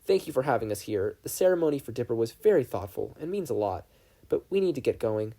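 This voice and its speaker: A man voicing a polite, caring boy companion in a story, calm and reassuring. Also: medium pitch, fast, gravelly. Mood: calm, friendly.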